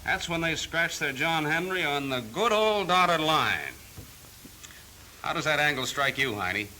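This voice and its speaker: A confident veteran salesman in a 1930s training film. His male voice sits a bit high and moves in big swings, with hearty, emphatic slang. The old soundtrack hisses faintly. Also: animated, a little slow, bright, forceful tone, heavily acted, low-quality recording.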